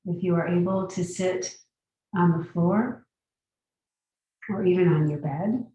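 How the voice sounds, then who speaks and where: A woman guiding a meditation as a teacher, giving gentle, unhurried instructions with long pauses. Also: on the low side. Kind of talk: guided meditation. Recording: very echoey room, distant mic.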